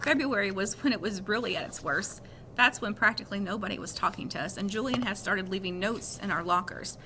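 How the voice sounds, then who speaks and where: Bright-voiced woman reading a story aloud. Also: mid-range voice, fairly quick, slight background noise. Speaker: reader reading a children's novel aloud.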